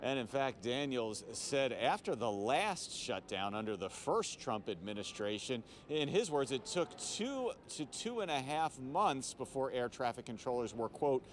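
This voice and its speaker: A man's voice: medium pitch, big pitch swings, a little slow, few pauses. An American man giving a live television news report as a field reporter. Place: airport. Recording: background noise from airport.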